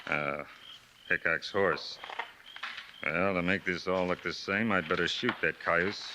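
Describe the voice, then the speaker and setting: A deep sneering outlaw. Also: male voice, steady tone, measured pace. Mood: gloating. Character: sneering frontier outlaw, gloating and mean. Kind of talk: 1950s radio drama acting. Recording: old radio with hiss.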